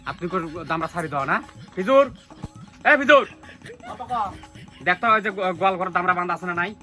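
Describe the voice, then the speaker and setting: A man plays a blustering, bossy village father in a comedy skit. His voice is high for a man and rattles along quickly in a northern Bangladeshi accent, with music behind it. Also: expressive, pushed and bright. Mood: irritated.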